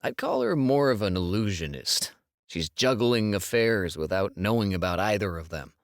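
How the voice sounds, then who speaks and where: A man playing an easygoing, sensitive husband in a con crew, speaking in a measured way in an audiobook drama. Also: lowish voice, very animated, no echo, studio quality. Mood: dry, amused. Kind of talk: full-cast audiobook dialogue acting.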